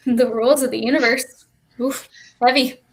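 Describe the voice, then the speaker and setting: Woman playing a needy, high-pitched restless child. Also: steady tone, a little slow, rough recording. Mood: curious, eager. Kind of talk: staged play reading over livestream.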